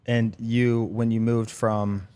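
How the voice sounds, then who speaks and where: A man hosting a podcast interview, reading a short intro and then asking brief, relaxed questions. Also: fairly low pitch, takes it slowly, clean tone. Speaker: podcast host.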